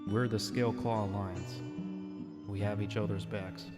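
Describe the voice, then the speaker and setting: A man's voice: on the low side, fairly even intonation, normal speed, long reverb tail, loud music behind the voice. A man hosting a casual ASMR livestream, chatting to his viewers into a close studio microphone. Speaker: livestream host and roleplayer. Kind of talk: casual ASMR stream chat. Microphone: close studio dynamic microphone.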